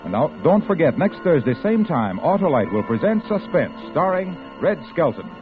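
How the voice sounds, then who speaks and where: A man working as a 1940s radio announcer, reading scripted sponsor copy in an emphatic, polished salesman's style with a husky voice. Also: a little high, very animated, moderate pace, phone-quality sound. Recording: thin vintage radio broadcast, faint music.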